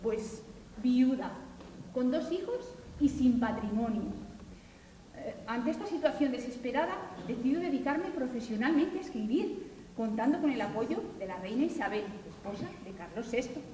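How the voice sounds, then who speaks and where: Woman playing a widowed writer from olden times telling her story, kinda high voice, echoey hall from far off. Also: animated, mellow, slight background noise, close to an everyday voice. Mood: earnest. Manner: measured, earnest storytelling. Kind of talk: amateur stage acting. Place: large school hall, stage. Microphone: far camera.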